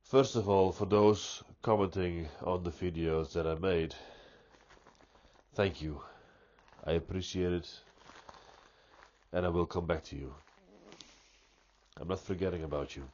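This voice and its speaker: Low-voiced guy, stuffy nose, talking real slow and thoughtful, lots of restarts, kinda dull lo-fi sound. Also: fairly even intonation, darkish tone, a little hiss or hum. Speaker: pipe-smoking vlogger. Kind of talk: unscripted reflective monologue.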